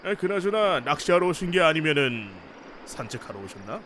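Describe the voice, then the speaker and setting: A man's voice: fairly high pitch, big pitch swings, fairly quick, fairly bright tone, slight background noise. A man playing an easygoing, chatty night angler in a visual novel, with a folksy, teasing manner. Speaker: supporting character in a visual novel. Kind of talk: acted casual dialogue. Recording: faint night insect ambience behind voice.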